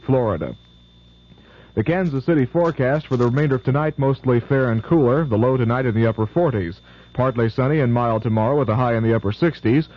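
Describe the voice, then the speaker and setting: A man reading the sports results and weather forecast on radio news in a brisk, even way. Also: mid-range voice. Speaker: radio newscaster. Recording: lo-fi 1970s AM radio.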